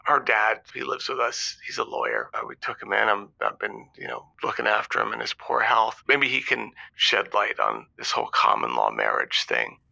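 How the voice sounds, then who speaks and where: A man's voice: on the low side, expressive, normal speed, vocal fry, few pauses, sharp tone, exaggerated voice, poor audio. A man playing a flustered, panicky husband and father calling a radio show, rambling, stammering and repeating himself. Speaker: caller. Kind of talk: improvised comedy phone-in.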